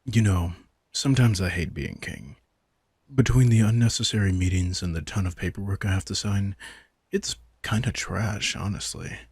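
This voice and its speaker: A smug vampire king, played by a man in an intimate audio roleplay. His voice is low and breathy-rough, recorded very dry. He talks in a laid-back, informal way, with wry, teasing manipulation. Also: normal speed, a little mellow, dry recording. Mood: weary, griping. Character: sardonic, casual young-sounding male vampire king, smug and manipulative.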